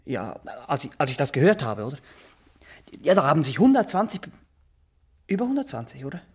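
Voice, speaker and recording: An actor playing a nervous, boastful Swiss small-town barber in a radio drama, rambling, halting and always justifying himself. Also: male voice, on the high side, huge pitch swings, husky, heavily acted. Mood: eager, nervous. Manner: rambling, halting, repeats himself, keeps adding tag questions. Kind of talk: naturalistic radio drama acting.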